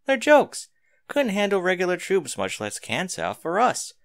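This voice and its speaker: A man's voice: high-pitched, wildly swooping pitch, a little slow, creaky, a bit bright, pitched above the performer's own voice, heavily acted. A man voicing a confident, scornful female security guard in a story reading, dismissive and emphatic. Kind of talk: scripted fan fiction reading.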